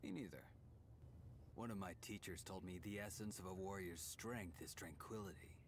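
An actor playing a cocky, wisecracking young ninja rogue in a video game, with a smug, taunting, hushed delivery. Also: male voice, low voice, normal speed, slight background noise. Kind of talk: video game character voice lines.

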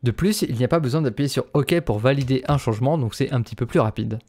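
A man's voice: medium pitch, quick pace, darkish tone. A man presenting an instructional screencast as a voice-over. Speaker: tutorial presenter.

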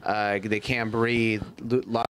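A man's voice: lowish voice, flat delivery, takes it slowly, fairly bright tone, slight background noise. A young man in casual podcast chat, slangy and sweary, acting out what other people say as he explains. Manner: slangy, sweary, acts out quoted reactions. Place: small furnished room with couches, indoors. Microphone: handheld microphone.

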